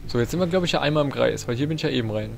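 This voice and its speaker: A young man giving casual, excitable video game commentary, talking to himself with playful exclamations. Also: medium pitch, very fast, vocal fry, soft background music. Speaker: let's play host.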